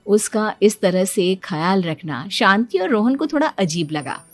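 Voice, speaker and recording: A woman with a medium-pitched voice narrates a scripted family cartoon story. She acts as the storyteller, with light music running behind her. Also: expressive, measured pace.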